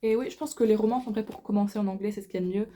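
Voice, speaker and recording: Mellow young woman chatting casually. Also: mid-range voice, fairly even intonation, rapid-fire, a little hiss or hum. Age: 20s. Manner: informal, slangy, peppered with English slang. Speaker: book vlogger. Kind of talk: casual chat to camera.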